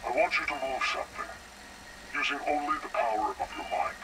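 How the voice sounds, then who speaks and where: A man's voice: medium pitch, close to an everyday voice. Man playing smug, menacing interrogator, heavy background noise. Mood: coaxing. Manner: condescending, coolly taunting, deliberate. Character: menacing, smug intelligence director interrogating a captive. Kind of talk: dramatic video game voice acting.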